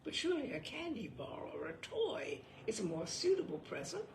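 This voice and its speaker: A woman's voice: fairly high pitch, huge pitch swings, moderate pace, roomy sound, noisy background. A woman reading humorous essays aloud with dry, wry expressiveness. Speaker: reader.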